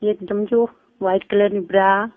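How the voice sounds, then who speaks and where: A woman's voice: medium pitch, fairly even intonation, moderate pace. A woman talks in a casual, private way with a Kathiawari Gujarati accent. Her voice comes through a narrow, tinny phone connection, as on a recorded call.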